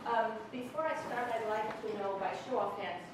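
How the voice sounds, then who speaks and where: A woman's voice: a little high, normal speed, heavy background noise. Portuguese-accented woman, echoey far-off hall. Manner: chatty, personal, with frequent fillers and non-native grammar. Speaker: tax preparer giving a talk. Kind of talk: informal lecture to students. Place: campus lecture room.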